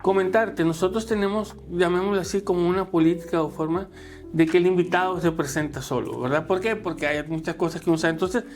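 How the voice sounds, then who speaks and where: A man's voice: high voice, brisk, faint music behind. A man hosting an interview, who interjects often and restarts his questions with stammers. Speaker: interview host. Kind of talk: conversational interviewing.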